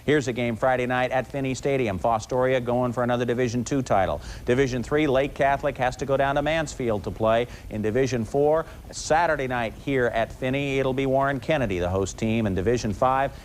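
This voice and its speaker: A male sports anchor calls highlights in a colorful, folksy way. His voice sits at an average pitch for a man. There is faint noise behind him. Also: brisk, few pauses.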